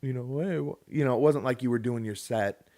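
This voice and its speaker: A man's voice: mid-range voice, fairly quick. A man in his late thirties co-hosting a podcast, telling a long story in casual, rambling chat and acting out what other people said. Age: 30s. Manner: rambling, animated, acts out quoted people. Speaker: podcast co-host.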